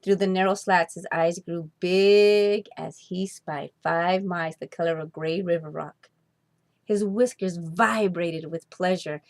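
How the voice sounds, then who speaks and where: A woman narrating a children's storybook expressively, with deliberate, drawn-out emphasis on key words. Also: fairly low pitch, fairly even intonation. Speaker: storybook reader.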